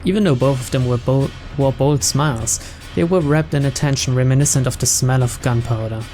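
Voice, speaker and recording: A woman's voice: very deep voice, a little fast. A woman reading visual novel text aloud as dramatic narration for a gaming channel. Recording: loud game music behind voice.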